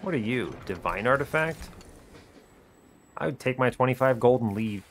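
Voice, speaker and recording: A man's voice: medium pitch, expressive, normal speed, a little hiss or hum. A man hosting a gaming video, thinking aloud in casual, chatty commentary with breezy, wry understatement. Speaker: host of an educational gaming video series.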